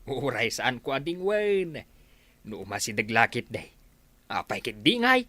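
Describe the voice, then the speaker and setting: A man's voice: medium pitch, big pitch swings, unhurried, pushed and bright, dry recording, heavily acted. A man with an Ilocano accent playing a brash, roguish village drinker and thief in a radio comedy drama. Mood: brash, defiant.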